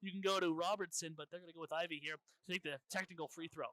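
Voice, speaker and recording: Bright, high-voiced male color commentator. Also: fairly even intonation, fast, no room sound. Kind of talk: live TV sports commentary, conversational.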